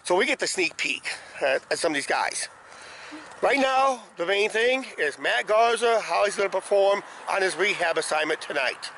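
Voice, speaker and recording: A middle-aged man hosts a casual vlog in a slightly hoarse, fairly high voice. He rambles along with frequent hesitant tags. He is filming himself outdoors at arm's length, with clear ambient noise around him. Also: lively intonation, moderate pace, bright, forceful tone, low-quality recording. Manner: rambling, repeats "uh, basically". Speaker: vlog host. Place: outdoors, roadside and ballpark. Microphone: handheld camera at arm's length.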